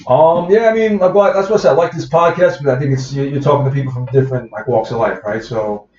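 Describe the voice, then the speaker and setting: A quick-talking man with a thick Bronx accent, rambling through a casual podcast chat with plenty of fillers and restarts. The audio is dull and roomy, with a faint background hum. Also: medium pitch, a little mellow. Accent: Bronx New York. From the Bronx, New York. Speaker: guest comedian.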